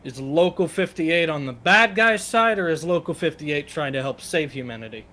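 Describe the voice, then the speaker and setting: A man's voice: a little high, expressive, normal speed, bright, forceful tone. A man chatting casually in a group reaction commentary, swearing freely as he talks. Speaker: reaction show co-host. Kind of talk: casual group commentary while watching videos.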